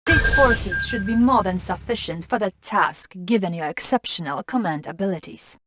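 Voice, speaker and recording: A woman's voice: medium pitch, animated, moderate pace, over loud music, lightly acted. A woman playing a composed Soviet intelligence officer, formal and deferential as she briefs a commander in a video game. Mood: businesslike. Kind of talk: scripted game mission briefing.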